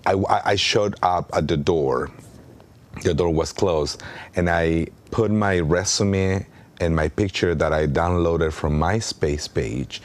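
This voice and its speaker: A man in his thirties from Mexico with a Mexican Spanish accent, a native Spanish speaker, talking earnestly as an interview guest, with frequent ums and restarts. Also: very deep voice. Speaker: artistic director.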